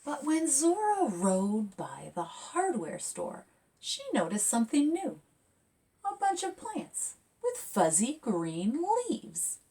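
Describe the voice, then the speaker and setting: A woman is doing a storytime for children, speaking as the author of the book. Her pace is unhurried and her pitch moves up and down animatedly as she draws young listeners in. The voice sounds somewhat distant from the microphone. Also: breathy edge. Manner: expressive, addressing young listeners with questions. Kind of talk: storytime talk and picture book read-aloud for children.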